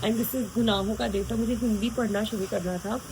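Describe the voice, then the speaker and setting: A woman's voice: fairly high pitch, fairly even intonation, a little fast, background noise. A young woman talking to camera in a casual vlog, chatty and rambling, poking fun at herself. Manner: chatty, rambling, self-mocking. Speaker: vlogger.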